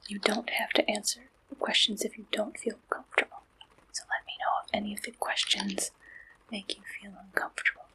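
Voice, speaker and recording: A woman softly performing an ASMR roleplay as a receptionist, gentle, reassuring and unhurried, in a breathy whisper close to the microphone. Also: mid-range voice, flat delivery, rough recording.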